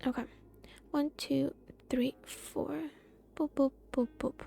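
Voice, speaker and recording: A high-voiced woman gives casual live game commentary at an unhurried pace. She talks to herself in short, playful reactions, with game music clearly behind her. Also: fairly even intonation, dark tone. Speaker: game streamer.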